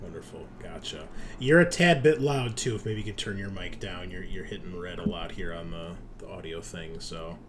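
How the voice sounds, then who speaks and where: A man's voice: low voice, moderate pace, few pauses, a crowd in the background. A man hosting a game stream in casual, informal chat, swearing freely. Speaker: host and game master.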